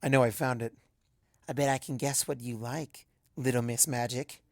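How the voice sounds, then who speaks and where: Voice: mid-range voice, steady tone, normal speed, no room sound, studio quality, close to an everyday voice. A narrator voicing a playful, affectionate young female pegasus pony in a story, teasing and reassuring.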